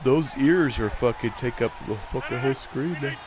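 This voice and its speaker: A man's voice: medium pitch, normal speed, crowd noise. A man chatting casually with friends while playing a football video game, joking and swearing freely. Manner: loose, joking, swears often. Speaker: gamer chatting with friends during play. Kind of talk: casual chat.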